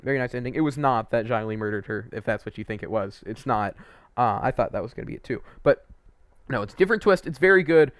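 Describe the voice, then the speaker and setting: Animated young man giving casual game commentary. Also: fairly high pitch, no room sound, studio quality. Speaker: video game reviewer and host. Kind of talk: casual opinionated ranking commentary.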